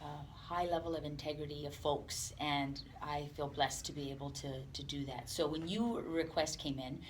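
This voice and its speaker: A woman giving unscripted interview answers as a police department representative, speaking earnestly and haltingly. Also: deep voice, fairly even intonation. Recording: clear background room noise.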